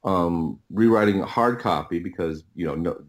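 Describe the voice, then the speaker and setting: A middle-aged man telling stories casually as an interview guest, often doubling back and breaking off his phrases. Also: low voice. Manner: halting, repetitive, rambling anecdotes with self-interrupted phrases. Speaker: podcast guest, writer and audio producer.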